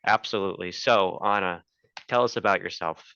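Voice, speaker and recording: Bright, crisp-voiced man hosting. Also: deep voice, normal speed, dry recording, highs cut off. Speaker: podcast host. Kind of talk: conversational interviewing.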